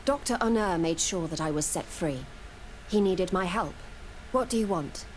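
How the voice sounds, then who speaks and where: A woman's voice: lowish voice, moderate pace, a little hiss or hum, close to an everyday voice. Woman acting guarded, serious female scientist. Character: guilt-troubled female scientist, guarded but dutiful. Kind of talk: video game dialogue acting.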